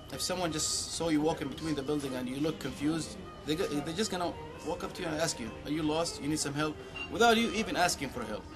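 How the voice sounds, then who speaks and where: A young man from Saudi Arabia with a Saudi Arabian accent, giving an interview as a graduate student. He tells stories in a joking way, with lots of fillers and restarts. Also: a little high. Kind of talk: casual interview storytelling. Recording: music playing behind the voice.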